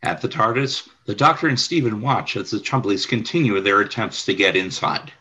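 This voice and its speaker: A man's voice: on the low side, moderate pace, bright, forceful tone, breathy edge. A man narrating a radio play from a script, describing the action.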